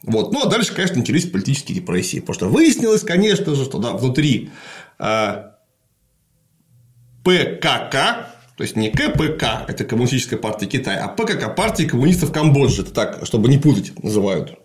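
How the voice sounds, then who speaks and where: A man with a mid-range voice that rises and falls a lot as he explains history. He lectures patiently, stopping often to pause and restart. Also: medium pitch, big pitch swings. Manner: lecturing, explanatory, with frequent fillers.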